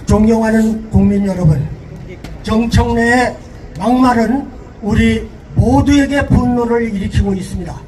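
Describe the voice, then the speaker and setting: A man with a cold gives a speech at an outdoor rally in a hoarse voice that sits very high, and he shouts slogans for the crowd to call back. He is heard at a distance through the open air. A crowd and street noise fill the background. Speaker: rally speaker.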